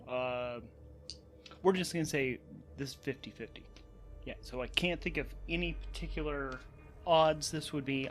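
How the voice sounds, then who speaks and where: A man's voice: on the high side, fairly bright tone, slight background noise. A man hosting and narrating a solo tabletop role-playing game, thinking aloud as he goes. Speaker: host, narrator and game master. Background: background music.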